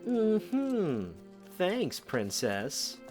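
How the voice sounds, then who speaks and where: A man's voice: high-pitched, wildly swooping pitch, very slow, a little mellow, soft background music, exaggerated voice. A man acting as a wry, modest young swordsman in an audio drama. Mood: soft, agreeable.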